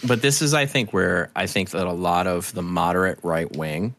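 Deep-voiced American man, emphatic and sweary. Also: normal speed, fairly bright tone, no room sound. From New Hampshire, United States. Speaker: podcast guest. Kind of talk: casual, opinionated interview chat.

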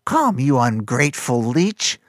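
A man's voice: mid-range voice, very animated, a little slow, no room sound, studio quality, pitched above the performer's own voice. A man voicing a cruel, scheming old male master who barks and sneers at his servant boy. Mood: harsh scornful. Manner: harsh, barking, contemptuous.

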